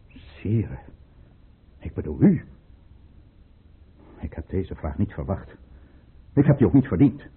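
Through a narrow, tinny old radio recording, a proud, calculating courtier. His very husky voice is formal and theatrical, with sweeping rises and falls as he addresses his sovereign in a historical drama. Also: low voice, sing-song, full character voice. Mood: stiff, offended. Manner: formal, measured, theatrically indignant or deferential. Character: smooth-mannered, proud, calculating governor and courtier. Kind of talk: period radio play acting.

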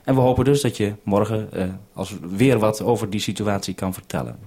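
A Dutch radio producer speaking on a radio link, calm and orderly, repeating notes back before signing off. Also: male voice, lowish voice, brisk, slightly rough. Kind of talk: radio call, businesslike. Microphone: radio transceiver.

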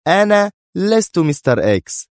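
A fierce female spy villain, acted out by a man in an audiobook thriller. The voice is pushed high and hard, stern and emphatic. It sounds domineering and quick to snap, in a close, dry recording. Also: sing-song, no room sound. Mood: angry, defiant.

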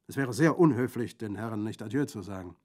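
A man's voice: lowish voice, lively intonation, a little fast, a little mellow, close to an everyday voice. A man voicing a boisterous Corsican bandit in an audiobook, jovial and exclamatory, with a gleefully mocking, gruesome humour. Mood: urging, wry. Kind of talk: audiobook character acting.

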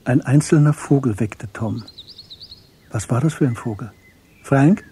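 A man's voice: medium pitch, a little slow, dark tone, slightly rough. A man reading scripted literary narration as the narrator of a German radio drama. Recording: music behind the voice.

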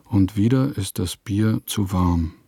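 An actor playing a sardonic provincial composer reading his diary in a radio play, with dry, deliberate phrasing. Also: on the low side, gravelly, dark tone, husky, dry recording, exaggerated voice. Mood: wry, resigned.

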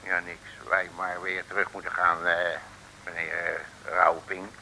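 Bumbling sleuth posing as pompous mandarin, pidgin speech, piercing and gravelly, vintage radio comedy. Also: male voice, sits low, level tone, a little slow, sharp tone, a little hiss or hum, exaggerated voice, rough recording. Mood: flustered, muttering. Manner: mock-pompous broken pidgin speech, muttering asides. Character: bumbling male detective posing as a grand Eastern mandarin. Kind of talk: 1960s radio comedy serial acting.

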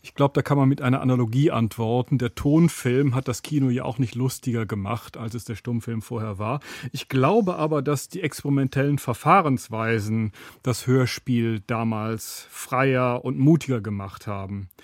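Male expert guest for a radio interview, average-pitched voice, explanatory delivery, recorded close and dry. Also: moderate pace, hardly any pauses, no echo. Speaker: guest radio drama critic. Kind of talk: explanatory studio interview talk. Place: dry broadcast studio. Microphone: close studio microphone.

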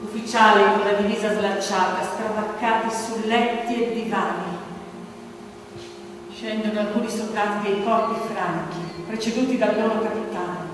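An actress playing a grave storyteller, delivering dramatic stage narration with deliberate, suspenseful pauses. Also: female voice, medium pitch, steady tone, background noise, lightly acted. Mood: grave, descriptive. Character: grave storyteller recounting a political killing. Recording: distant, very echoey hall, music behind. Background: music.

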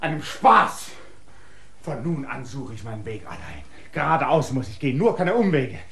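A man's voice: medium pitch, expressive, moderate pace, a bit bright. An actor playing a restless, defiant male land surveyor in a radio drama, brooding and stubborn in tone.

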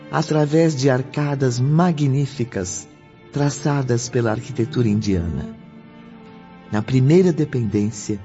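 A man narrating a radio drama as a solemn storyteller, with measured, literary delivery. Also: mid-range voice, mellow. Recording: dull audio with music bed.

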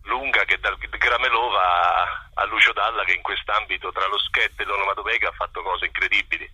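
Man, low-pitched, very bright forceful tone, halting reflective interview talk, dry sound. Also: steady tone, slightly rough, no room sound, rough recording. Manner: halting, reflective, searching for words. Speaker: interview guest, singer-songwriter and performer. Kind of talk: casual spoken interview.

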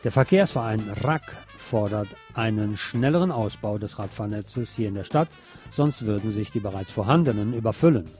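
A man's voice: fairly low pitch, expressive, normal speed, darkish tone, faint music behind. A man presenting local news on the radio in a scripted reading style.

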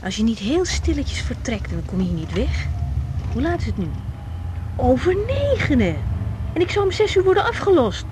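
High-voiced young woman doctor, candid. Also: very animated, normal speed, background noise. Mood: tired, businesslike. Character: young woman doctor, overworked, frank, easily wounded. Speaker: radio drama actor. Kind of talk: 1980s Dutch radio drama acting.

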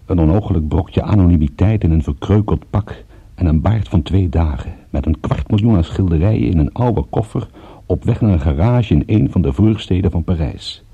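An actor playing a weary, battered private detective, narrating a radio drama in a measured, grim way. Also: male voice, low voice, animated, warm tone, slightly rough, heavily acted. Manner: measured, grim, literary storytelling. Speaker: narrator and lead of a radio play. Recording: narrowband, muffled old radio recording.

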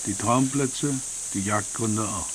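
A man reading a voice-over translation in a calm, measured way. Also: fairly low pitch, a little hiss or hum.

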